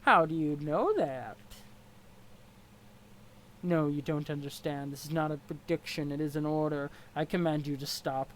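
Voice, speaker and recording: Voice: mid-range voice, normal speed, pauses often, slight background noise, pitched below the performer's own voice, natural voice. A reader voicing a stern, formal male troll with a pompous manner. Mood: flustered. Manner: formal, pompous, stiff diction. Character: stern, haughty male troll of great strength.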